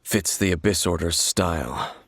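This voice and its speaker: An actor playing a brooding, world-weary mysterious swordsman in a video game, grave, measured and formal. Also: deep voice, vocal fry, fairly bright tone, heavily acted. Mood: cool, wry. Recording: game music behind.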